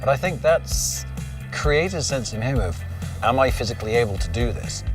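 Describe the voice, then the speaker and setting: A man's voice: mid-range voice, expressive, normal speed. A man hosting a motorcycle documentary, explaining the plan in an earnest, matter-of-fact way. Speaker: host, motorcycle journalist. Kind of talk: documentary narration. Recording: distant mic with music bed.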